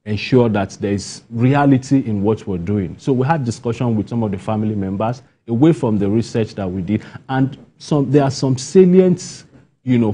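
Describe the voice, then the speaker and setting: Man, Nigerian accent, husky and soft-edged, medium pitch, emphatic interview guest, repeats phrases for stress. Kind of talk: spontaneous broadcast interview answers.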